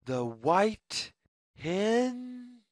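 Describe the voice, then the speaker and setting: Very slow, sing-song children's story reader. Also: mid-range voice, big pitch swings, no room sound, clean studio sound. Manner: slow, deliberate, phrase-by-phrase sing-song reading. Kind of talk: children's read-aloud story narration.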